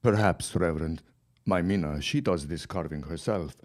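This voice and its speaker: A man playing a deferential, halting accomplice. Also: deep voice, a little mellow, close to an everyday voice. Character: hesitant immigrant accomplice, deferential. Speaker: Otto Zabansky. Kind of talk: radio drama acting.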